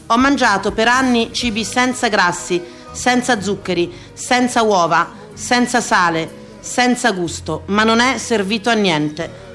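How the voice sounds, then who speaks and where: An actress playing a wry, self-mocking woman eternally on a diet, declaiming in a comic theatrical radio monologue with dramatic pauses. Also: medium pitch, a bit bright, natural voice. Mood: mock solemn. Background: music.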